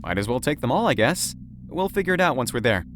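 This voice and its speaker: A man's voice: medium pitch, very animated, fast, a bit bright, a little hiss or hum. A man voicing an earnest, eager younger man in a visual novel. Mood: eager, helpful.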